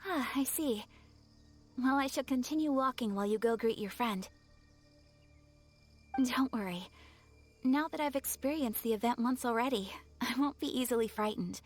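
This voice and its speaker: A woman playing a gentle, earnest female video game character who speaks politely and formally. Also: on the high side, normal speed, long pauses, natural voice. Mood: calm, reassured. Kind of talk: video game cutscene acting. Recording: music behind the voice.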